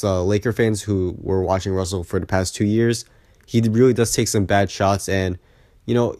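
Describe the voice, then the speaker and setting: Low-voiced man, sick and losing his voice. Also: a little mellow, no echo, clean studio sound. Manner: conversational, opinionated, frequent "you know". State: sick, voice partly lost. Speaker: sports video creator and host. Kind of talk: casual basketball recap and analysis vlog.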